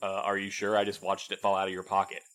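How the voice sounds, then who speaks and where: An actor playing a prim, by-the-book postmaster in an audio drama, with formal, fussy, precise speech. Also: male voice, low-pitched, completely flat delivery, fast talker, fairly bright tone. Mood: dry, amused. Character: prim, by-the-book male postmaster, curious and pedantic. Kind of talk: naturalistic full-cast audio drama.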